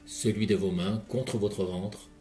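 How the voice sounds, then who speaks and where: A man leading a guided relaxation session in a calm, measured voice, speaking directly to the listener. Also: lowish voice. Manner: calm, measured second-person guiding. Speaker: hypnotherapist guide. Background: soft background music.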